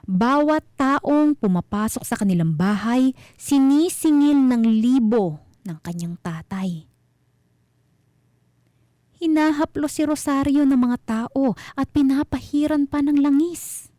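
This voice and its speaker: Dark-toned woman narrating radio horror. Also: sits high, animated, slow, long pauses, no echo, studio quality. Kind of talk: scripted dramatic horror storytelling.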